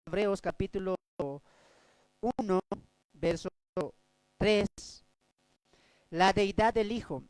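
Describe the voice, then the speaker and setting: A man from Bolivia, preaching a Bible study sermon as a pastor, swinging between loud and soft delivery. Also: high-pitched, smooth, no room sound, low-quality recording.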